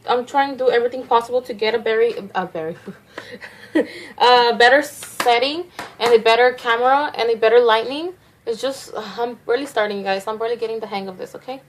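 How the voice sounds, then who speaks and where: A woman's voice: on the high side, slight background noise, rough recording. A young woman from Mexico with a Mexican accent, chatting through a makeup tutorial in a rambling, self-conscious way with many restarts. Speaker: makeup vlogger.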